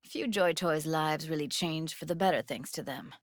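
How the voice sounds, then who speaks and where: A woman's voice: sits low, normal speed, dry recording, clean studio sound, lightly acted. An actress voicing a tough, guarded young woman techie in a cyberpunk video game, speaking in casual, clipped slang with a wry edge. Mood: earnest, guarded. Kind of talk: naturalistic video game dialogue, isolated voice lines.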